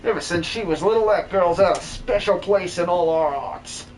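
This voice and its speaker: A man's voice: high-pitched, moderate pace, slight background noise, close to an everyday voice. A man voicing a loyal, protective working-class miner in a comic game dub. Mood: earnest, warning.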